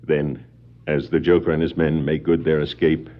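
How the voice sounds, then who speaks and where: An actor narrating a scripted 1960s superhero adventure record as a dramatic, portentous storyteller. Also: male voice, extremely low pitch, little pitch movement, moderate pace. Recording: muffled 1960s vinyl record.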